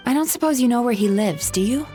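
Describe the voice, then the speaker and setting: Woman as a composed young therapist, calm and measured, slightly high voice, gentle authority, game dialogue. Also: soft background music, natural voice. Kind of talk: game voice acting.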